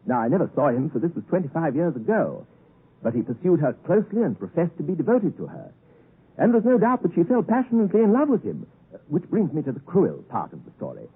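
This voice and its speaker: Husky, animated young English gentleman. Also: fairly high pitch, quick pace, heavily acted. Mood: genial, courteous. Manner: courteous, mannered, digressive. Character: genteel, tactful, cheerful young London gentleman. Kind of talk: 1950s radio drama acting. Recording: tinny, muffled 1950s radio broadcast recording.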